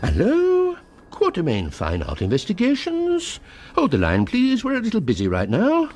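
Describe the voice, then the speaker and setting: A pompous male detective inspector in a radio comedy, theatrical and wheedling. His fairly high voice swoops hugely, with faint noise behind it. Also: huge pitch swings, moderate pace, a little mellow. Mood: excited, self-important. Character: grandiose, broke art-fraud detective inspector boss. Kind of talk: British radio comedy drama acting.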